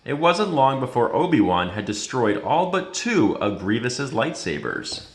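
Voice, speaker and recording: A man reading a children's story aloud with expressive, dramatic storytelling. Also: medium pitch, measured pace. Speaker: reader.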